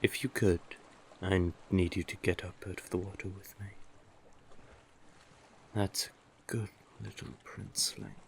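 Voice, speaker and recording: A man acting an older male sea witch, very slow delivery, deep voice, doting, coaxing, intimate fantasy audio drama. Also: fairly even intonation. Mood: tender, coaxing. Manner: soothing, coaxing, doting, deliberate. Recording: clearly noisy, sea-cave ambience effects.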